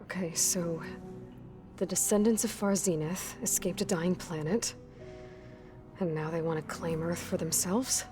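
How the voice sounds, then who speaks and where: An actress playing a determined young huntress who asks direct, probing questions in a game cutscene. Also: female voice, fairly low pitch, fairly even intonation, a little slow, over music, natural voice. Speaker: game protagonist. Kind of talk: video game cutscene acting.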